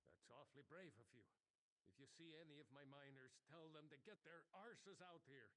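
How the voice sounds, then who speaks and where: An actor playing a nervous, wry mine boss in a video game, speaking a little hesitantly. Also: male voice, a little high, lively intonation, fairly quick, very noisy, close to an everyday voice. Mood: wry, uneasy. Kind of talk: video game character acting.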